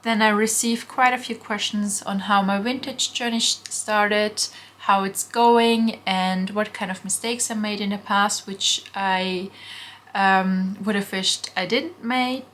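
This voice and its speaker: A woman's voice: medium pitch, fairly even intonation, unhurried, a bit bright. A young woman from Austria, chatting casually through a vlog Q&A from her bedroom, with frequent ums. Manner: chatty, frequent ums, answers viewer questions. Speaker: vintage shop owner vlogger. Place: bedroom at home. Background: faint construction noise from next door.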